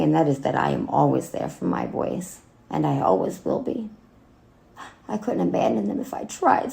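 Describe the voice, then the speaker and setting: A woman acting a wounded, bitter grown daughter in a dramatic monologue, speaking haltingly and emotionally with a gravelly voice. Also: on the low side, darkish tone, full character voice, rough recording. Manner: halting, emotional, with wry laughs and swearing. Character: bitter, wounded grown daughter addressing her dead mother. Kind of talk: dramatic monologue cold read.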